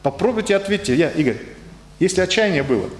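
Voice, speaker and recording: A man's voice: sits high, expressive, quick pace. Man preaching in an echoey hall. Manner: hesitant, searching for words. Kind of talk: conversational sermon with audience questions. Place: church hall. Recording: echoey hall with faint ambience.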